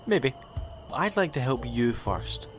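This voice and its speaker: A man's voice: medium pitch, animated, slow. A man playing an eccentric, chatty time-travelling alien in an audio drama, with a rambling, quirky way of talking. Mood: eager, urgent. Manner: rambling, self-correcting, quirky. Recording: music under the voice.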